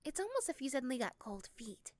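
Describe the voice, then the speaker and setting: Female narrator, high-pitched, for second-person ASMR storytelling. Close, dry recording. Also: sing-song, quick pace, a little mellow, dry recording. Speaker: narrator and voice performer. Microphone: close microphone.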